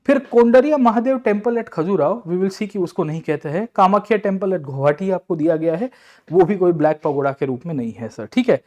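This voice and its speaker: Higher-pitched Indian man teaching, mellow tone. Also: lively intonation, a little fast. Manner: explanatory, addresses listeners as sir and brother, checks in with tag words. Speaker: exam coaching teacher. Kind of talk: lecture-style exam question analysis, Hindi mixed with English.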